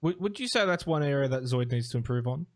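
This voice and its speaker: A man's voice: medium pitch, animated, fast talker. A man hosting a casual podcast interview, asking long, hedging questions. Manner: chatty and hedging, with lots of fillers. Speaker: podcast host.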